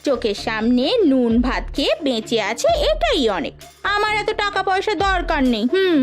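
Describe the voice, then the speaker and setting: A woman's voice: high-pitched, big pitch swings, moderate pace. A woman playing a scheming young village stepmother in an audio melodrama, whining and wheedling as she talks. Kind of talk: melodramatic Bengali audio story acting. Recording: music under the voice.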